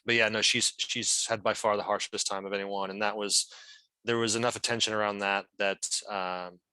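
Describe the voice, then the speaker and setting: A man answering questions at an online meeting, speaking earnestly in a halting, hesitant way. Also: on the low side, level tone, sharp tone, clean tone, no room sound. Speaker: legal team member as guest speaker. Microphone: video call.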